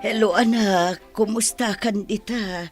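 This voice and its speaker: A woman acting as a gentle, worried mother on a call in an Ilocano radio drama, tender and concerned. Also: mid-range voice, steady tone, slow, over music, close to an everyday voice.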